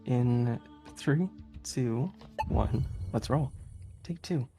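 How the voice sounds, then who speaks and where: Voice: medium pitch, extremely slow, gravelly. Giggly, chatty game streamer over music. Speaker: speedrunner presenting a game run. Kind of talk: casual live gameplay commentary.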